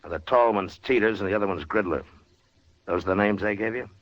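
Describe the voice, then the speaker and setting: Man acting a deep-voiced terse frontier marshal. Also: lightly acted. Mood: firm. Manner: terse, measured, authoritative. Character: calm, tough, dryly wry frontier marshal. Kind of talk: 1950s Western radio drama acting. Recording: dull, noisy 1950s radio recording.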